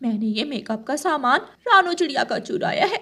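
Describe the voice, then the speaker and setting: A vain thieving crow from a children's cartoon, voiced by a woman. She talks high and brash, cocky and sly in every line. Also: animated, moderate pace, fairly bright tone. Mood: smug.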